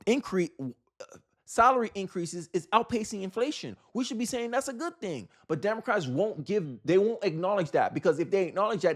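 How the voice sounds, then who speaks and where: Higher-pitched man giving casual opinions. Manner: informal, repeats and rephrases points for emphasis. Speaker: host of a political commentary show.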